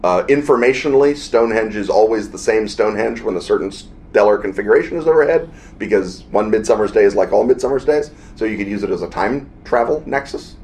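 A man's voice: on the low side, animated, quick pace, a little mellow. A man co-hosting a podcast, rambling enthusiastically in casual chat from a hotel room. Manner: rambling, enthusiastic, full of fillers. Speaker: podcast co-host.

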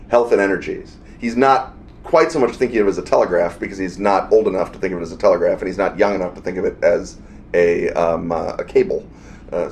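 Low-voiced man riffing on a podcast. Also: a little mellow, a little hiss or hum. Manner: rambling, enthusiastic, full of fillers. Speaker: podcast co-host. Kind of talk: casual chat. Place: hotel room.

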